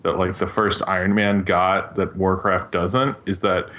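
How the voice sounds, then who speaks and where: A man with a lowish voice talks as a podcast panelist. His style is casual and profane, looping through his points in loose, conversational phrases. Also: level tone, unhurried, fairly bright tone. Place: online voice call.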